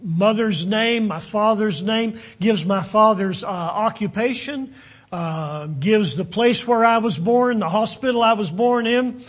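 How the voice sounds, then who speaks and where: An older male preacher with a West Virginian accent and a high, husky voice. He talks haltingly, stopping often to search for words. His delivery is unhurried and sincere. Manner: halting, many fillers and restarts. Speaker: pastor. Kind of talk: sermon.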